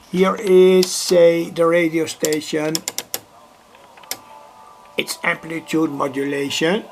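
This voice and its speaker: Slow, creaky-voiced man. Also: fairly high pitch, slight background noise. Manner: hesitant, deliberate, repeats himself. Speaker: electronics hobbyist presenter. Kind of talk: informal technical demonstration, narrated live.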